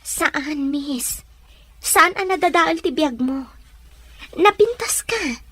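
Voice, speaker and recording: A woman's voice: high-pitched, expressive, slow pace, bright, forceful tone, no room sound, full character voice. A woman playing a humble, wronged young mother, meek but firm, in an audio drama. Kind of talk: dramatized Ilocano audio story.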